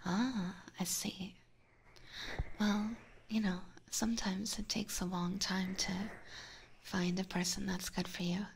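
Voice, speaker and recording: A woman doing casual ASMR chat in a soft, breathy whisper close to a binaural mic, informal and hesitant, chatting to viewers. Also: medium pitch, flat delivery, slow pace, slight background noise, poor audio. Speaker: ASMR streamer and host. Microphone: close binaural ear microphone.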